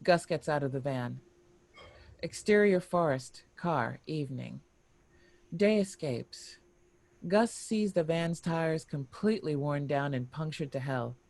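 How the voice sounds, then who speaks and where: A screenplay narrator with a mid-range voice reads action lines and scene headings. The delivery is deliberate and expressive, like dramatic storytelling. The sound is dry, without room reflections. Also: medium pitch, gravelly, dry recording. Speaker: narrator reading stage directions.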